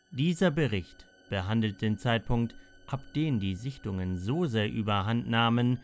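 A man narrating a scripted mystery documentary in a measured way, with dramatic pauses and emphatic short sentences. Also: mid-range voice. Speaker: narrator of a mystery audio report.

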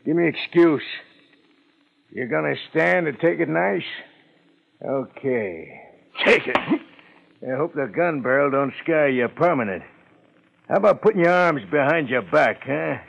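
A thuggish henchman from a 1950s radio crime show. His voice is creaky and a little high, with crude tough-guy grammar. The old broadcast sounds dull and muffled. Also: animated, a little slow, pauses often, exaggerated voice. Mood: menacing. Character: thuggish, dim, impatient male gangster henchman with a gun. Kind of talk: 1950s radio detective drama acting.

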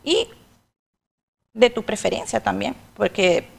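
Gravelly middle-aged woman demonstrating catering. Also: mid-range voice. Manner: halting, restarts while working with hands. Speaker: catering expert guest. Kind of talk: demonstrating while explaining. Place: TV studio kitchen set. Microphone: lapel mic.

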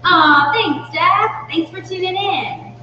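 A woman's voice: very high-pitched, takes it slowly, bright, forceful tone. A woman in her thirties giving an informal, step-by-step cooking demonstration as the host of a live class. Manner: informal, instructional, explains step by step. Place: indoor demonstration kitchen. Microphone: far from the speaker. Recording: distant mic, very echoey room, muffled, hum.

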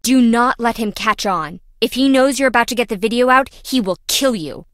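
A woman's voice: fairly high pitch, moderate pace, fairly bright tone, no room sound, clean studio sound, natural voice. A woman playing a quirky, dramatic young female lab worker in a video game, chatty, wry and theatrical. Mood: anxious, urgent.

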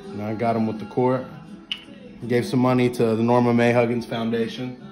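A man's voice: medium pitch, level tone, normal speed, darkish tone, soft background music. Young man enthusing through a fan room. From West Virginia. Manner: enthusiastic, rambling, many fillers. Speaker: sports fan giving a room tour. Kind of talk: casual home tour vlog. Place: small indoor fan room at home. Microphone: handheld phone camera. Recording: echoey room, faint background ambience.

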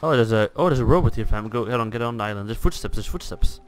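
A man's voice: mid-range voice, expressive, fast, clear, resonant tone. A man bantering with friends in a game, using slang and plenty of swearing. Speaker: gamer playing with friends. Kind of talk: casual gaming banter. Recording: faint background noise on gaming voice chat.

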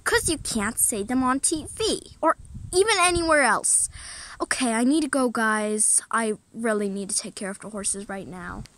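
A woman's voice: a little high, normal speed, fairly bright tone, no room sound, lightly acted. A woman doing a sheepish boy, dry close sound.

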